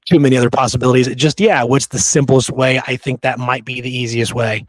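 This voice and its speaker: A man's voice: medium pitch, fairly even intonation, quick pace, no echo. A man hosting a podcast, talking through history in an informal, conversational way. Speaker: podcast host. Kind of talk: casual history discussion.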